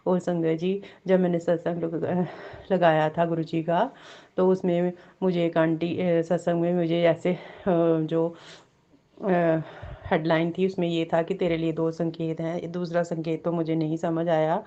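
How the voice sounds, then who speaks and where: A woman with a sore throat sharing a devotional testimony, halting with many fillers and repeated blessings. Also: on the low side, flat delivery, mellow, lo-fi sound. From Jammu.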